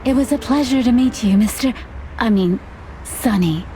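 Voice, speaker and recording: A woman's voice: on the high side, normal speed, mellow, slight background noise, lightly acted. A woman playing a refined, polite and slightly coy female client in a noir detective game. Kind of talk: scripted noir game dialogue. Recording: music behind the voice. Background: music.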